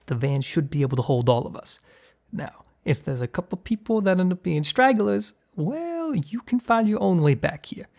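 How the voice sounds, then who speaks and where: A man playing a gruff, short-tempered mob boss in an ASMR roleplay, with a gravelly, husky voice. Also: high-pitched, big pitch swings, moderate pace, exaggerated voice. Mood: commanding, businesslike. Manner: commanding street-tough slang with crew, flustered hushed stammer with partner. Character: gruff, short-tempered male mob boss hiding his work from partner.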